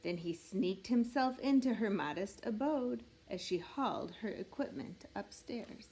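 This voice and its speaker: A woman reading a rhyming picture book aloud in an expressive, measured storytelling way meant for children. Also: medium pitch, a little hiss or hum, poor audio. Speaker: storybook reader.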